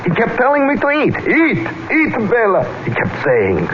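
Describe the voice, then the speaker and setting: An actor playing a henpecked, anxious immigrant husband, hesitant and pleading, in old-time radio drama style. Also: male voice, high-pitched, wildly swooping pitch, moderate pace. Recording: muffled, noisy old radio recording.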